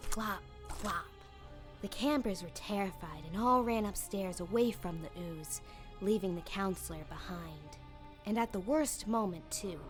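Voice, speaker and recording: A woman's voice: medium pitch, natural voice. A woman playing a dramatic young camper in a campfire audio drama, with an emphatic, theatrical way of talking. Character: dramatic young girl camper telling a spooky tale.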